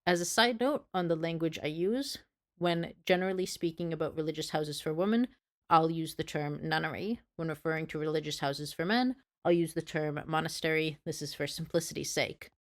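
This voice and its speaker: Low-voiced woman narrating history. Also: fairly quick. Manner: earnest, apologetic asides. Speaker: history video creator. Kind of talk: scripted educational narration.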